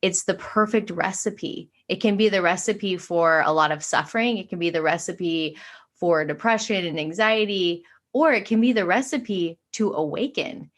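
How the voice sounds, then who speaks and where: A woman with a fairly low voice talks earnestly about spiritual awakening. She speaks in long flowing runs and often fills with "like". It is a casual, heartfelt conversation. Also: moderate pace. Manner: earnest, flowing, many "like" fillers. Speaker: podcast guest, spiritual teacher.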